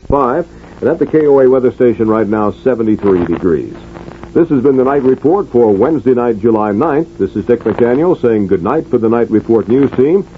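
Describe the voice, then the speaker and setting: A man's voice: mid-range voice, animated, normal speed. A man announcing in a scripted 1970s radio news style. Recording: tinny, faintly noisy old radio broadcast.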